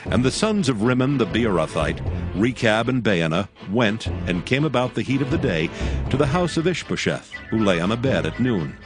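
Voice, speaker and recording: A man narrating a dramatized Bible in a measured, solemn storytelling style. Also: mid-range voice, expressive, over music.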